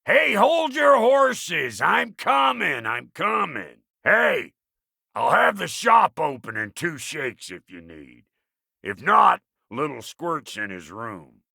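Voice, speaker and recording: Gruff dwarf smith, very gravelly rasp, slow folksy drawling delivery, foul-mouthed swagger, video game character, close dry recording. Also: male voice, fairly high pitch, very animated, sharp tone, no room sound, full character voice. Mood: hollering, then gruff, grumbling. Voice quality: gravelly, raspy. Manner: folksy rustic slang, colorful insults, swaggering.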